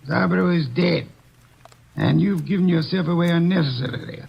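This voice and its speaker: An actor playing a portly, unflappable older detective who speaks in a measured, pompous way, in 1940s radio acting. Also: male voice, fairly high pitch, gravelly, slightly rough, full character voice. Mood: smug. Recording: dull old radio broadcast recording.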